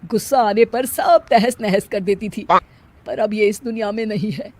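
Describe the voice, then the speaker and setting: A woman's voice: medium pitch, moderate pace, mellow, natural voice. A woman voicing a kindly widowed father-in-law in a cartoon story, earnest and reassuring. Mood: solemn.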